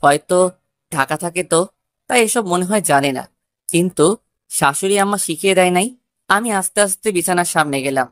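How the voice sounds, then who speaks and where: A woman's voice: fairly low pitch, moderate pace, long pauses, no room sound, studio quality. A woman narrating a scripted first-person love story.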